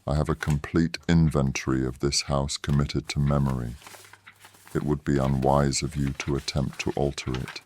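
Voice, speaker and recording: Guy doing a super deep voiced sneering professor, formal and caustic, audio drama. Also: little pitch movement, normal speed, a little mellow, a little hiss or hum. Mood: cold disdain. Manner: formal, disdainful, clipped sarcasm. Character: proud, caustic wounded male professor and resistance fighter.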